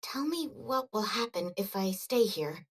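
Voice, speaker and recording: A woman voicing a reserved, practical young girl engineer in a video game, measured and a little hesitant. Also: medium pitch, lively intonation, slightly rough. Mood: subdued. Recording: music behind the voice.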